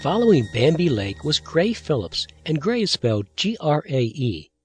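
A man with a husky voice, hosting a radio show and introducing recordings in an informative, conversational way. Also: a little high, very animated, normal speed, soft background music. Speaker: radio host.

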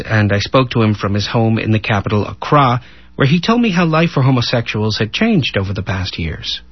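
Husky radio presenter guy, asks questions, voice goes up and down a lot, dry studio sound. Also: on the low side, no room sound, dull audio. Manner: measured, inquisitive.

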